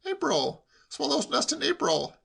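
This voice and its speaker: A man voicing a breezy, inquisitive young English gentleman in a comic audiobook, facetious and persistent in his questioning. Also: extremely high pitch, wildly swooping pitch, a little slow, creaky, voice pushed up, heavily acted. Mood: incredulous, pedantic.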